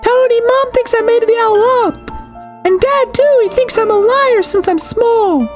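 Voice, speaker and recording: A woman voicing a stubborn, excitable little sister, childish and insistent, reading video game dialogue aloud. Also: extremely high pitch, a little slow, over music, voice pushed up. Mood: frightened, pleading.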